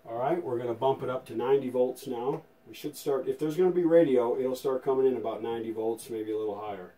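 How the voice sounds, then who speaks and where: A man's voice: medium pitch, fast, mellow, rough recording. A man giving a casual hands-on demonstration as a vintage radio restorer, thinking aloud in an informal, tentative way.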